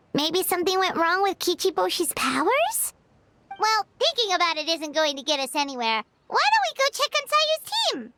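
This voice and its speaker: A woman's voice: very high voice, a bit bright, heavily acted. A woman voicing a tiny, chirpy, nosy childlike fairy companion in a video game. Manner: speaks of herself in third person, exclamatory. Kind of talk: video game character dialogue.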